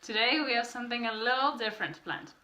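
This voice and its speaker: A young woman from France co-hosting a couple's vlog, explaining her results in a calm, measured way. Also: a little high, far from the mic. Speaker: vlog co-host. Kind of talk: casual chat. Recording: echoey room, off-mic.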